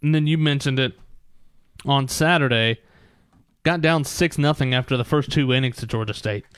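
A man's voice: mid-range voice, normal speed, smooth, no echo, studio quality. A man hosting a sports talk show, delivering recaps fluently in short announcer-like phrases.

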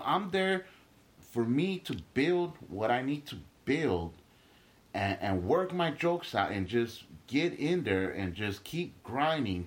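A young man from Guatemala, a stand-up comedian talking emphatically as an interview guest, swearing freely and restarting his sentences. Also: medium pitch. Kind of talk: casual podcast interview.

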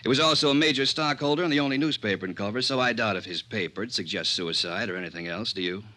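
An actor playing a brisk, sardonic, chummy American insurance adjuster in a 1950s radio drama, speaking emphatically. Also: male voice, fairly low pitch, sharp tone. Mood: businesslike.

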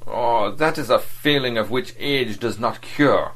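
A man putting on a sneering, high-pitched diplomat. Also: unhurried, poor audio. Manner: sharp, sneering, haughty. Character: mocking, cynical male foreign diplomat.

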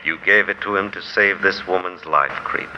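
A man playing a calm, gentle benefactor with measured, formal diction in a 1940s radio drama. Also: low voice, close to an everyday voice. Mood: calm persuasion. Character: calm, gentle, philosophical male benefactor of the poor. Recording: dull, noisy 1940s radio recording.

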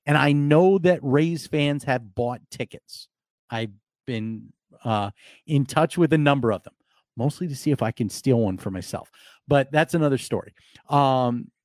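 Hoarse guy rambling on his sports podcast, dry close mic. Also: medium pitch, darkish tone, dry recording. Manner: rambling, many restarts and fillers. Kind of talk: casual live podcast talk.

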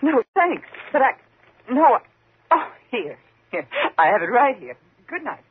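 A woman's voice: a little high, very animated, unhurried, phone-quality sound, slight background noise. An actress playing a frightened single woman in a 1950s radio suspense drama, tense and dramatic in her delivery. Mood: nervous, flustered. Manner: tense, dramatic, faltering when flustered.

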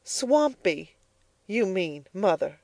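A woman reading the part of a proud, determined young farm girl, speaking high and earnest. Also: lively intonation, moderate pace, warm tone, dry recording, lightly acted. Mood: hurt, defiant. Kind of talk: audiobook character voice.

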